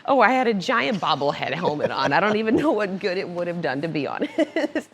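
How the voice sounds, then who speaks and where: A woman with a somewhat low voice tells stories in a relaxed interview, laughing easily between lines. Faint room ambience sits behind her. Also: brisk, a little hiss or hum. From born in Italy, raised moving around. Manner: laughs often, animated anecdotes. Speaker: podcast guest, motorcycle finance director. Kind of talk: casual storytelling interview. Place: cigar club lounge.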